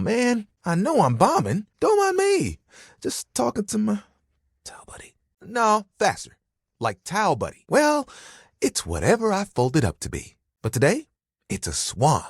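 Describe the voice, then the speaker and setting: Bashful talking towel with very lively intonation. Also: male voice, fairly high pitch, husky, no room sound, clean studio sound, full character voice. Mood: awkward, flustered. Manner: rambling, self-conscious, casual, punning. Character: talking bath towel, bashful, eager, laid-back young guy. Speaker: video game voice actor. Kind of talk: dating game character dialogue.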